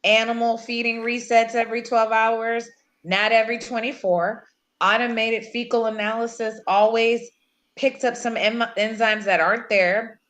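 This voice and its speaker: Woman as overworked control room engineer, higher voice, curt, complaining, table read. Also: level tone, normal speed, a bit bright, natural voice. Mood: irritated. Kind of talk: table read of a film script.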